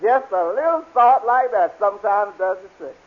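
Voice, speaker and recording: Cheerful shady lawyer, extremely high voice, breezy, inept, vintage radio comedy, dull old recording. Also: male voice, lively intonation, normal speed. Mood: cheerful, confident. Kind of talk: 1940s radio comedy acting.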